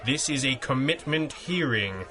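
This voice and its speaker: An actor playing a confident, shrewd masked superhero, speaking formally and eloquently in a 1930s-style radio serial. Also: male voice, mid-range voice, expressive, measured pace, pushed and bright, a faint crowd. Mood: impassioned, assured.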